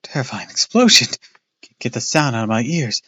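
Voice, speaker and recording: Calm mom voice, gentle and kinda high, guy reading it. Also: a little fast, breathy edge, dry recording, muffled recording, exaggerated voice. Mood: reassuring. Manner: soft, reassuring. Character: calm, soothing mother, middle-aged.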